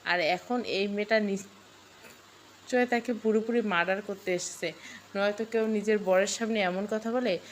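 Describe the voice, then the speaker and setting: A woman narrates a dramatic scripted story. Her voice sits at a middle pitch. There is noticeable noise in the recording. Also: fairly even intonation, measured pace.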